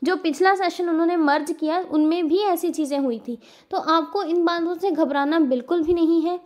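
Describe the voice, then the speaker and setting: A woman with an Indian accent and a very high voice speaks directly to students like a coaching educator. She explains things informally but earnestly, stressing her points and going back over them so they are clear. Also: moderate pace, a little mellow. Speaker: exam coaching educator giving an update to students. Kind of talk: informal explanatory update addressed to viewers.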